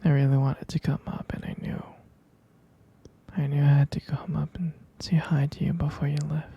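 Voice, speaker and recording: A man's voice: on the high side, flat delivery, warm tone, lo-fi sound, exaggerated voice. A man playing a tender, sleepy half-vampire boyfriend in an intimate ASMR roleplay, murmuring and whispering hesitantly with affectionate repetition. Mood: tender, nostalgic. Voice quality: breathy, gravelly whisper and murmur. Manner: slow, hesitant, repeating phrases, affectionate.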